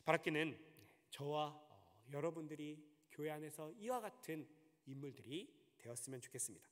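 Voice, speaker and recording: A man's voice: fairly high pitch, normal speed. A man in his thirties, a pastor, preaching a sermon earnestly and asking rhetorical questions. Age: 30s. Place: church sanctuary. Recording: long hall reverb.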